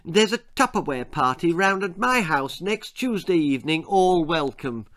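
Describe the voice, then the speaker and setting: A man's voice: a little high, big pitch swings, normal speed, a bit bright, voice pushed up. A man voicing a sweet, sociable suburban housewife in a storybook, with a polite manner.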